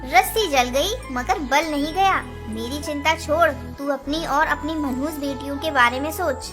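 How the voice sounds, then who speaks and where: A woman's voice: high voice, measured pace. A woman voicing a scheming, gossiping female parrot in a cartoon, taunting and spiteful. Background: background music.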